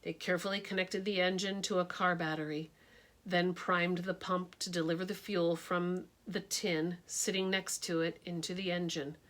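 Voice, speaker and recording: A woman teacher reading a picture book aloud, expressive and dramatic, shifting her tone for suspense. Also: fairly low pitch, moderate pace.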